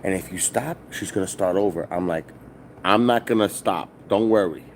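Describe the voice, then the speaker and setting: A middle-aged man from New with a loud voice, telling a rambling, profane story on a casual stream from his hotel. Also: low-pitched, moderate pace, slight background noise. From New York. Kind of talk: casual live-stream vlog. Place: hotel, indoors.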